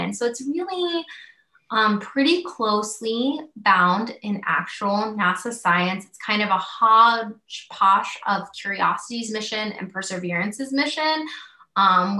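Bright-voiced American woman in a roomy space. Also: mid-range voice. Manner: enthusiastic, frequent "like" and "kind of". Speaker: guest author on a book podcast. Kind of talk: casual interview chat. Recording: roomy echo.

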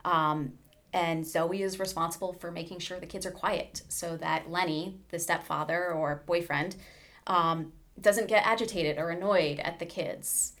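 A woman speaking as an educator and guest in a book discussion, explaining things in a measured way. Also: on the low side, fairly even intonation, a bit bright.

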